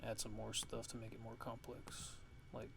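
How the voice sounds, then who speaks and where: Low, monotone man with background noise. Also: normal speed, creaky. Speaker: music production tutorial host. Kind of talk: casual software tutorial, thinking aloud.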